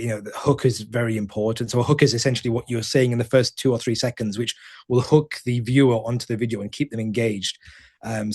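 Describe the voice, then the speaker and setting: A man gives a webinar presentation at a middle pitch. His voice stays monotone, and he talks haltingly, restarting and repeating words as he searches for the next phrase. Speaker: GP giving a webinar talk.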